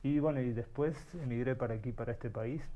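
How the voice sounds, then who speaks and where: A man's voice: medium pitch, fast talker, muffled recording. Reflective middle-aged man. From Uruguay. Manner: thoughtful, searching for words, frequent restarts. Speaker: guest, artist. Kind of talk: reflective interview storytelling.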